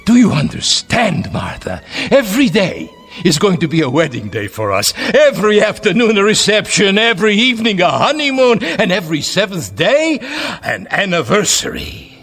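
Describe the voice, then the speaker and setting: A man's voice: sits high, sing-song, moderate pace, fairly bright tone, husky, soft background music, heavily acted. An actor playing a devoted husband, an old man given back his youth, with emotional, impassioned delivery in a vintage drama. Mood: ardent. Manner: emotional, impassioned, repetitive pleading. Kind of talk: vintage television drama acting.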